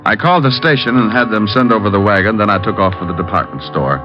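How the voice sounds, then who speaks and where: A man's voice: fairly low pitch, fast, close to an everyday voice. An actor playing a cool, wisecracking male private detective in an old-time radio drama, with wry, clipped delivery. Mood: brisk narration. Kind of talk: old-time radio detective acting with first-person narration. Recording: dull old radio recording, faint music.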